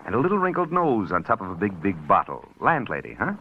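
A man's voice: on the low side, huge pitch swings. An actor playing a cocky, wisecracking male scandal columnist, in 1940s radio acting. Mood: smug. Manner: smug, wisecracking, fast-talking. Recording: dull old radio broadcast, faint hiss.

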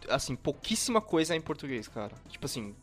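A man's voice: fairly high pitch, big pitch swings, quick pace. A man co-hosting a casual podcast, chatty and agreeable. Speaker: podcast co-host. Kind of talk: casual chat. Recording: faint music behind.

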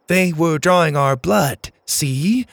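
A man's voice: on the high side, a little slow, dry recording, voice pushed up. A man voicing a desperate young girl who solemnly pretends to be an alien, with clipped, pleading speech.

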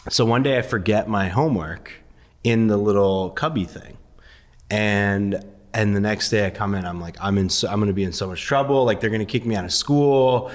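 A man raised partly in Iran, first language Farsi, telling his story as a guest in a relaxed, laughing way with many ums. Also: lowish voice, normal speed, in a large hall. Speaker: guest, investor. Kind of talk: casual interview storytelling.

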